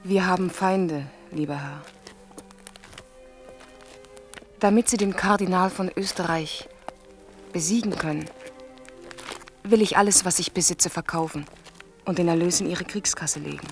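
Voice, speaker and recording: A woman's voice: on the low side, moderate pace, faint music behind, lightly acted. An actress playing a king's devoted, anxious young mistress in a German radio drama. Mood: devoted, earnest.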